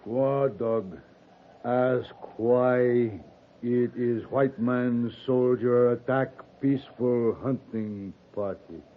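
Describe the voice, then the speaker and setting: An actor playing a proud, guarded Cheyenne chief who speaks solemn, halting, stilted broken English in 1950s radio western style. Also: male voice, mid-range voice, fairly even intonation. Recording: noisy, muffled lo-fi 1950s radio recording.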